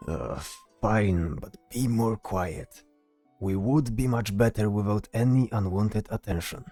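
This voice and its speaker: A man's voice: fairly low pitch, a little slow, dark tone, soft background music. A man playing a cautious, weary soldier with dry patience in a fantasy audio drama. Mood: weary, exasperated.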